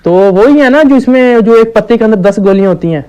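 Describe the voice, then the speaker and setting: A man playing a slow-witted, stubborn village shopkeeper on a deadpan prank call, hesitant and naively persistent. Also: very high voice, clean tone, poor audio. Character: dim, stubborn village shopkeeper ordering absurd medicine quantities. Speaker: prank caller.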